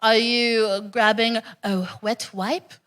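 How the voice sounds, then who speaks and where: A woman's voice: fairly high pitch, fairly even intonation, slow, a bit bright. A woman hosting a stand-up comedy night, frank and crude in a chatty way as she hypes up the crowd. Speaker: host. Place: comedy club stage before a live audience.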